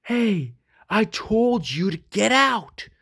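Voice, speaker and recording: A man's voice: high voice, very animated, slow, creaky, fairly bright tone, slightly rough, voice pushed up, full character voice. A man voicing a big, tough storybook dog who barks bossy, indignant orders with emphatic delivery. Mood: barking, annoyed.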